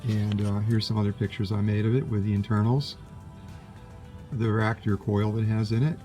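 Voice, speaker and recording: A man casually demonstrating a vintage radio in a halting, unhurried way, as a collector explaining his set. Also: lowish voice, steady tone, dark tone. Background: vintage radio playing music and broadcasts.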